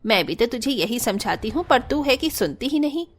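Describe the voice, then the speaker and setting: A woman's voice: on the high side, sing-song, normal speed. A woman playing a disapproving elderly mother-in-law in a cartoon family story. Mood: reproachful.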